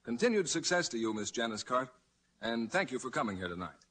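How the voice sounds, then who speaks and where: A man's voice: medium pitch, very animated, fairly quick, fairly bright tone. A man announcing a scripted soap advertisement in 1940s radio style. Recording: faintly noisy old radio broadcast.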